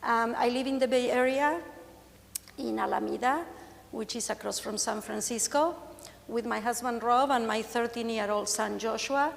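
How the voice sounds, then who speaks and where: A woman's voice: on the high side, moderate pace, gravelly, slight background noise. A woman in her forties from Argentina with an Argentine accent, Spanish as her first language, giving a candid, joking conference presentation as a patient speaker. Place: stage in a large hall. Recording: long hall reverb.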